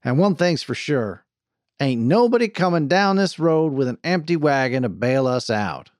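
A man playing a brash, loud, swooping cowgirl boss. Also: fairly high pitch, big pitch swings, normal speed, no room sound, studio quality, pitched above the performer's own voice. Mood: brisk, blunt. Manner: drawling frontier slang, profane, bossy. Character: brash, foul-mouthed cowgirl wagon boss, hot-tempered but soft-hearted.

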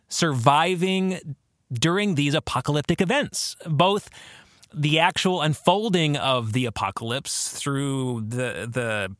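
A man hosting a podcast, explaining theology in an informal monologue with a halting, thoughtful delivery and emphasis on key words. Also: fairly high pitch, fairly bright tone, no echo, studio quality.

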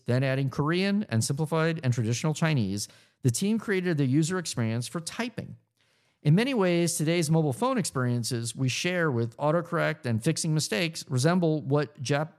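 A man reading his own memoir aloud as audiobook narration, measured, with occasional stumbles and restarts. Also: medium pitch, darkish tone.

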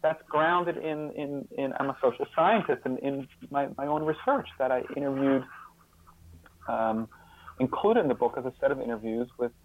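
Male interview guest, mid-pitched, thoughtful and halting delivery, narrow muffled phone-style sound. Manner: halting, earnest, repeats words as he thinks. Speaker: guest author and social scientist. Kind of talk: reflective interview talk.

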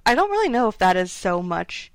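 A woman's voice: mid-range voice, sing-song, brisk, no echo. A woman co-hosting a podcast in casual discussion, with informal, loosely hedged phrasing. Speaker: podcast co-host.

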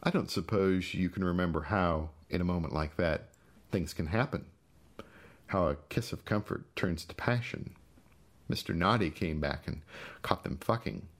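A man's voice: deep voice, normal speed. A man voicing a vengeful, sadistic storybook character who taunts his helpless brother with mocking, menacing questions. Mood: taunting, bitter. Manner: mocking, menacing, sing-song rhetorical questions.

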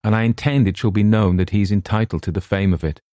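A man reading the part of a calm, patient gentleman who asks gentle, probing questions. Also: fairly low pitch, quick pace, no room sound, clean studio sound, natural voice. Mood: earnest.

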